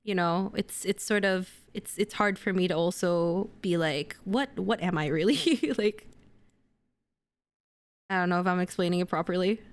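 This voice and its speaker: A woman's voice: fairly low pitch, normal speed. A young woman from Indonesia, chatting playfully as she streams herself building a model kit. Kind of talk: casual live-stream chat while building a model kit.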